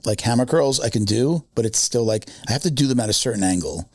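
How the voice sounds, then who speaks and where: A man's voice: mid-range voice, expressive, fast talker, husky, no echo, studio quality. A man in his fifties, a podcast co-host chatting casually, rambling with many fillers and restarts. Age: 50s. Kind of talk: casual chat.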